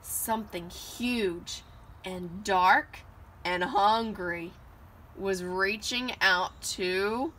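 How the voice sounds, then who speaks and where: A woman giving an expressive read-aloud of a children's picture book, recorded outdoors on a porch. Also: medium pitch, very slow. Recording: noisy outdoor home recording.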